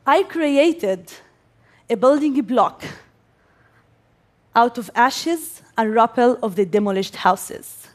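A young woman from Gaza giving a prepared speech to an audience, speaking as an English speaker with pauses between phrases. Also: fairly high pitch, expressive. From Gaza, Palestine. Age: young adult.

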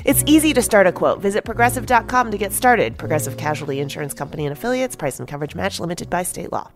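A woman reading a podcast sponsor message in a bright, upbeat, scripted way. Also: on the low side, animated, fast, soft background music. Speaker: ad and intro announcer.

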